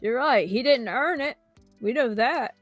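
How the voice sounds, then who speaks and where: A woman's voice: on the high side, animated, unhurried, dry recording, faint music behind. A woman guesting on a live reaction stream, blunt and sarcastic, swearing freely. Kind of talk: casual reaction chat.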